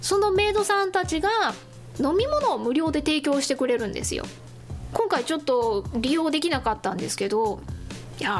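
A woman with a high voice hosts a solo radio-style show. She chats casually to her listeners as she goes through news and announcements. Music plays underneath her talk.

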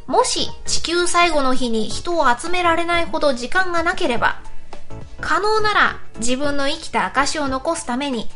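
高めの声の女性ラジオパーソナリティ. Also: female voice, high-pitched, sharp tone. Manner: polite, frequent backchannels and giggles. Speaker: radio show host. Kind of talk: reading listener messages on a hobby internet radio show.

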